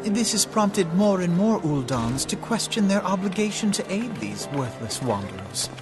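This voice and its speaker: A composed young advisor in a video game. He explains things in formal, articulate, measured phrases. Music plays clearly behind him. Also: high-pitched, sing-song. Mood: pointed, concerned. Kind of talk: scripted video game cutscene dialogue.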